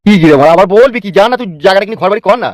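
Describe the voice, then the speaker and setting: A man's voice: high-pitched, animated, very fast, no room sound. A man playing a lecherous, bullying village politician in a Bengali cartoon, brusque and domineering, with a rural West Bengal accent. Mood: bullying, impatient. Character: lecherous, bullying male village politician, foul-mouthed and scheming. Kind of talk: crude comic cartoon dialogue. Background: background music.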